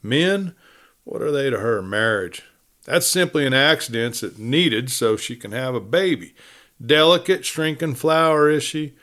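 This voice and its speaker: A man's voice: mid-range voice, lively intonation, a little slow, fairly bright tone. A man acting a folksy, preachy tough cowboy. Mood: insistent, emphatic. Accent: American Western. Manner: drawling, folksy lecturing.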